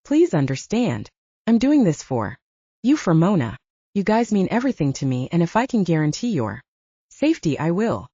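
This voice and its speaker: A woman's voice: lowish voice, big pitch swings, moderate pace, dark tone, breathy edge, dry recording, dull audio, heavily acted. A woman voicing a desperate, devoted young woman in an audiobook, pleading emotionally. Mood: resolved pleading. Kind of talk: audiobook character dialogue.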